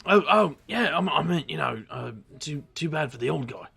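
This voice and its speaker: An actor playing a weary, brooding man with a gravelly voice and dry, bitter wit in an audio drama. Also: a little high, expressive, moderate pace, sharp tone, heavily acted. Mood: distracted. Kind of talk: audio drama narration and dialogue.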